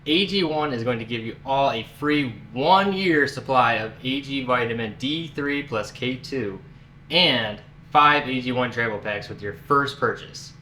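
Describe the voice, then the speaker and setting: A man giving an upbeat, brisk sponsor read. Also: medium pitch, sharp tone, slight background noise.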